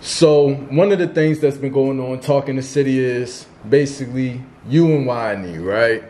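A man's voice: medium pitch, normal speed, dark tone, slight background noise. A man interviewing a guest, asking questions in an easygoing, slang-filled way.

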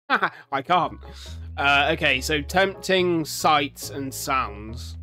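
British male reaction host, 30s: bright, chatty, expressive, close mic, faint music behind. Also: fairly high pitch, extremely slow. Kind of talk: casual reaction commentary. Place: small room at home. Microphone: close desktop condenser microphone.

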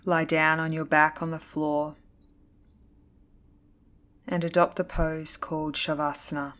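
Female meditation instructor, low register, level intonation, calm scripted delivery with deliberate pauses. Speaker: yoga nidra instructor. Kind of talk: guided meditation, scripted narration.

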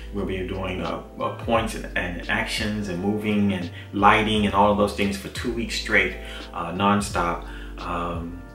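An American man in his forties speaking informally to camera in a video diary, halting as he searches for words. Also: low-pitched, fairly bright tone, far from the mic. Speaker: actor presenting a behind-the-scenes series. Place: small indoor office. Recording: roomy, off-mic, faint music behind.

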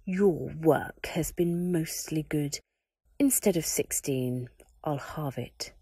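A woman voicing a cold, menacing male dark lord who speaks in a slow, hissing whisper. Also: deep voice, slightly rough, no room sound, clean studio sound, exaggerated voice. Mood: cold cruel.

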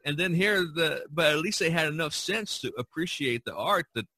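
A man with a bright, forward voice hosts a casual podcast discussion. He rambles through his stories in a conversational way, and the sound is dry and close. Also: fairly high pitch, expressive, moderate pace, dry recording. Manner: rambling, storytelling. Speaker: podcast host. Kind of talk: casual discussion of art history.

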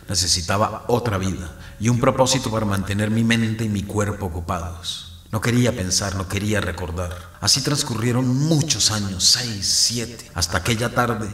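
A man's voice: on the low side, a bit bright, echoey room, close to an everyday voice. An actor playing a guilt-ridden priest narrating his past in an audio drama. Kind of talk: scripted first-person dramatic narration.

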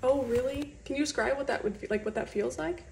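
30s lady, high voice, sweet talking her pets in lil skits, phone vid at home, bit of noise. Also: quick pace, gravelly. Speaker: pet owner, video creator. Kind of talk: playful chat with pets in short comedy skits.

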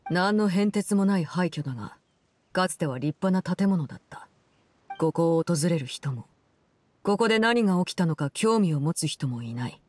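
An actress playing a cold, composed game villainess, telling a story in a measured, solemn and restrained way. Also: female voice, lowish voice, expressive, a little mellow, no room sound. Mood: grave, composed. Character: cold, composed woman, Fatui Harbinger who runs an orphanage. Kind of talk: scripted game story narration.

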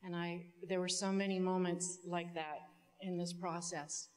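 A middle-aged woman giving a prepared commencement speech as a student speaker, measured and deliberate, with some ad-libs. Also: lowish voice, flat delivery, darkish tone. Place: indoor auditorium stage. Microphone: podium microphone. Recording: long hall reverb from stage sound system.

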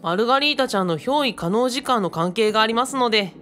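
Young female assistant for radio drama woman acting: courteous, modest, level-headed, with lively melodic delivery. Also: a little high, fast talker, soft background music. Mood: polite, cheerful. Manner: courteous, animated.

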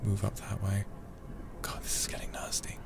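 Male game streamer, very low gravelly voice, hushed ASMR delivery with plenty of swearing. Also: completely flat delivery, moderate pace. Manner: hushed, often whispering, swears freely. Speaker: streamer playing a horror game. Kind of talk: ASMR game livestream commentary.

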